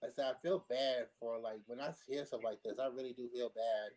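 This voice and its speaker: A man with a medium-pitched voice talks casually on a discussion panel. He lays out his points in a measured, explanatory manner, often feeling his way through the sentence. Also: fairly even intonation, a little mellow. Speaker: panelist on a pop culture discussion show.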